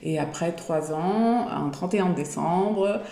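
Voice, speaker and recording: A woman's voice: lowish voice, takes it slowly, warm tone, echoey room. A woman in her forties chatting in an interview, hesitating often as she talks. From Belgium. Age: 40s. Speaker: guest. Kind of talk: casual interview chat.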